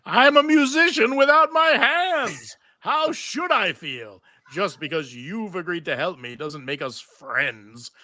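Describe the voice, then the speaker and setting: A man playing a bitter, grieving musician, speaking slowly and accusingly in a live script read. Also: high voice, animated, sharp tone, no echo, studio quality, pitched above the performer's own voice, exaggerated voice. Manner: slow, accusing delivery. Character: bitter grieving male musician, blaming a warrior.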